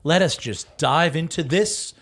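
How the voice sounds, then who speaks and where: A man's voice: fairly high pitch, a little slow, fairly bright tone. A man co-hosting a comedy podcast who banters and cracks jokes. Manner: joking, teasing banter. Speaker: podcast co-host.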